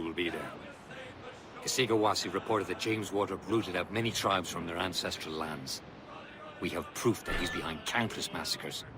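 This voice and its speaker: An actor playing a stern, resolute senior assassin in a video game. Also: male voice, fairly low pitch, background noise, close to an everyday voice. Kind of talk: dramatic video game acting.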